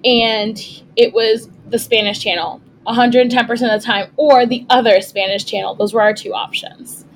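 A young woman hosting a podcast in casual chat, relaxed and easygoing with frequent "um" fillers. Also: fairly high pitch, moderate pace, a little hiss or hum, rough recording. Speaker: podcast host.

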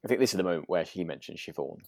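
Low, tentative man, podcast co-host. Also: lively intonation, rapid-fire, gravelly, no echo, clean studio sound. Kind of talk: relaxed chat discussing a radio drama.

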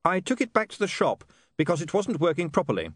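A man's voice: sits high, big pitch swings, fast talker, no room sound, studio quality, pitched above the performer's own voice, heavily acted. A man voicing a driven male newspaperman who speaks sharply and gives orders, in a fantasy audiobook. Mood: earnest. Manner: commanding, sharp.

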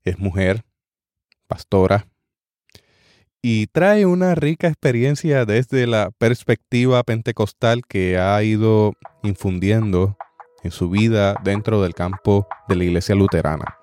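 A man hosting a podcast, calmly and formally introducing a guest speaker. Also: lowish voice, unhurried, dry recording. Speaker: podcast host. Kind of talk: spoken introduction. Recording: dry close recording.

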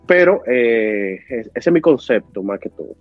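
A man speaking as a guest expert on a panel, reflecting in a measured way. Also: medium pitch, very animated, no room sound, soft background music. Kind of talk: casual panel discussion. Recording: tinny phone-like line.

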